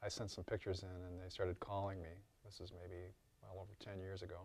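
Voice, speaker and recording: Male craftsman interviewee, lowish and monotone, unscripted, halting delivery with frequent rephrasing. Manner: halting, repeats and rephrases himself. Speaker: interviewed costume and prop maker. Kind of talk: informal interview explanation.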